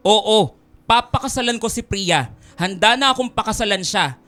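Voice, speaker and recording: A man's voice: sits high, lively intonation, normal speed, pushed and bright. A man playing a devoted, caring young Filipino man in a radio drama, earnest and polite. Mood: hurt, defiant. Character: devoted, caring young male nurse, respectful but firm.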